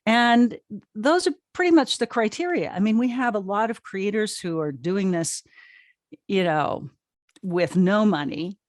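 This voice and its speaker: A woman's voice: medium pitch, expressive, unhurried, dry recording. Emphatic woman guest, close dry sound. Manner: emphatic, repeats words as she searches for phrasing. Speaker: interview guest, audio drama company co-founder. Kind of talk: casual conversational explanation.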